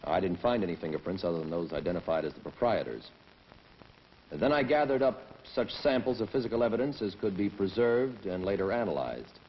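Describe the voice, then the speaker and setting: A man's voice: sits low, animated, fairly quick. An actor playing a matter-of-fact male police detective, giving plain, methodical scripted testimony in a 1960s training film. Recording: muffled 1960s film soundtrack, hiss.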